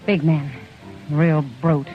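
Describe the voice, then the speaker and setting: A caring saloon keeper in a radio western, warm and worried in tone. The voice comes through a dull vintage radio recording with faint music. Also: female voice, deep voice, flat delivery, slow. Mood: concerned. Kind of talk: 1950s radio drama acting.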